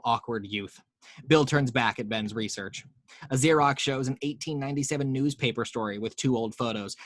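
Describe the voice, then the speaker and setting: A man's voice: medium pitch, fairly bright tone, no echo. A man narrating the action lines of a screenplay table read, with wry, playful asides.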